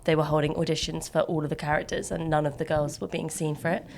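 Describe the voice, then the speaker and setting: A woman's voice: steady tone, quick pace, gravelly, darkish tone, a little hiss or hum. A young woman with a slightly nasal voice, chatting casually and rambling through stories with a podcast friend. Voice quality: slightly low, nasal from sinus problems. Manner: rambling, chatty storyteller who laughs mid-sentence. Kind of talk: casual chat between friends.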